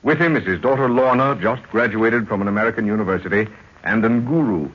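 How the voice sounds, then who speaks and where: A man's voice: lowish voice, a little fast, close to an everyday voice. A man narrating as an announcer for an old radio mystery, in a dramatic scripted style. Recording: muffled, hissy old radio recording.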